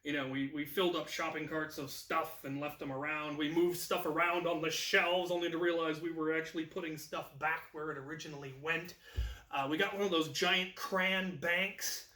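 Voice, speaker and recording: An American man in his thirties telling a casual anecdote as a video host, in an animated manner. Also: on the high side, normal speed. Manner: animated, acts out quoted lines. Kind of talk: casual anecdotal storytelling to camera. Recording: echoey room, distant microphone.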